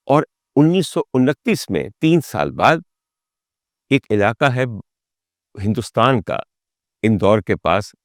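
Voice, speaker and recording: A man hosting a talk, telling stories dramatically and rhetorically, with deliberate build-ups and repeated phrases. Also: on the low side, no echo, clean studio sound. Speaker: host and storyteller of a music history talk. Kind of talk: dramatic spoken storytelling to the audience.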